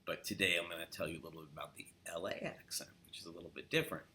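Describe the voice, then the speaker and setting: A deep-voiced middle-aged man talks casually to the camera. He rambles a little, drops in fillers, and wanders through his points at an easy pace. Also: steady tone, rapid-fire, bright, forceful tone, slight background noise. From New York. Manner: rambling, filler-heavy, acts out quoted LA speech with rising upswing. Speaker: accent coach. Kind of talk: casual instructional talk to camera.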